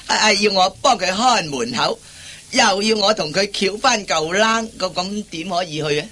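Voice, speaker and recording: Stammering, henpecked husband, bright voice. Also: male voice, high-pitched, moderate pace. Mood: worried. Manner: stammering, hesitant, repeats his words. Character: henpecked, timid, flustered husband and office worker. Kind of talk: Cantonese radio comedy acting.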